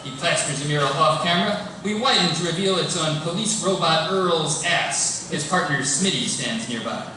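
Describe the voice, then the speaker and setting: High-voiced man narrating in an echoey hall. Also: animated, moderate pace, sharp tone. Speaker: narrator reading stage directions. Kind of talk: live animated comedy table read, scripted narration. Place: indoor live event before an audience. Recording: echoey hall, distant mic, clear noise.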